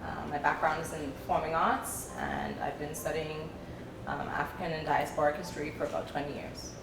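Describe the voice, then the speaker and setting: A woman from the Bahamas, an educator, introducing herself calmly and unhurriedly. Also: deep voice, normal speed, gravelly, background noise. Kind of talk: self-introduction. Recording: distant echoey sound with music.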